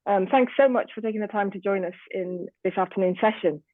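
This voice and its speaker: A woman's voice: mid-range voice, brisk, dry recording. A woman chairing an online panel in a formal moderating style, heard over muffled, lo-fi call audio. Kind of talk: formal event moderation, addressing an online audience. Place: online video meeting.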